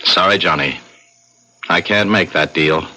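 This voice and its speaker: An actor playing a sly, patiently persuasive otherworldly man in a 1970s radio drama, husky and insinuating in manner. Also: low voice, unhurried, pushed and bright, exaggerated voice. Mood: sly, coaxing. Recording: noisy old 1970s radio recording.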